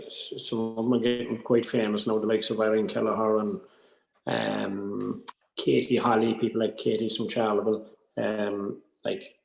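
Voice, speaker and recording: Irish male workshop speaker, lowish creaky voice, chatty and meandering, roomy echoey sound. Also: a little mellow. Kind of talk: informal workshop talk.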